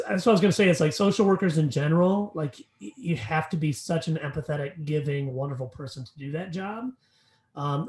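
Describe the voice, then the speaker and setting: A man's voice: a little high, a little mellow, reverberant. A man co-hosting an educational podcast, chatting casually with fillers and also reading the sponsor message. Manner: upbeat, rambling with fillers. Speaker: podcast co-host.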